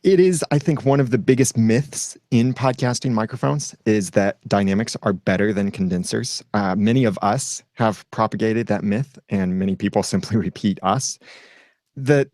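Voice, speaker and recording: A man's voice: lowish voice, normal speed, darkish tone, dry recording, clean studio sound. A man speaking as a guest in a casual roundtable chat, explaining at length with a self-deprecating streak. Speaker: podcast guest.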